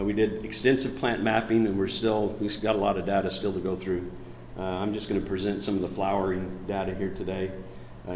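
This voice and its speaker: A man's voice: low-pitched, darkish tone, slight background noise. A man with a chest cold giving a research presentation, walking through his slides and pointing out details. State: chest cold, voice deeper than usual. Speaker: crop researcher presenting findings. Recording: roomy echo.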